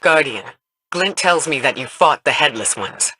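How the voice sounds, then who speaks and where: A brisk commanding officer. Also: medium pitch, lively intonation, sharp tone, breathy edge, low-quality recording.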